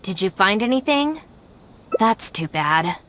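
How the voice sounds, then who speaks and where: Stern, exacting young gang deputy. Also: female voice, medium pitch, very animated, a little slow, fairly bright tone. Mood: focused, matter-of-fact. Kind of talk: video game voice acting.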